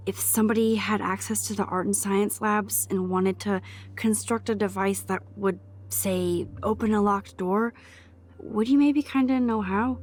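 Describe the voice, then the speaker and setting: A woman's voice: medium pitch, a little hiss or hum, natural voice. Wry, nerdy American teenage girl, played by an actress. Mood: friendly, coaxing. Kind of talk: video game voice acting. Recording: music behind the voice.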